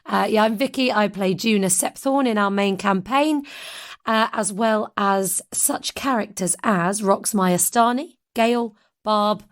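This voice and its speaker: Slightly croaky woman podcast host. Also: medium pitch, unhurried. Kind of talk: casual podcast chat.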